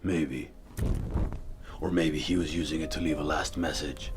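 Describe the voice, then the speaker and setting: An actor playing a grim, brooding masked crime-fighter in an audio drama, speaking in terse, commanding phrases. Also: male voice, very deep voice, steady tone, measured pace. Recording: music behind the voice.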